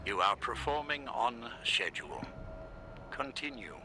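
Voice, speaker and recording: Haughty evil mastermind from a video game, super theatrical swings in pitch, drawn out slow and imperious. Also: male voice, very animated, bright, forceful tone, slightly rough, full character voice. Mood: smug. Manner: theatrical, imperious, deliberate enunciation. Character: haughty, menacing male villain mastermind. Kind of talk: video game villain acting.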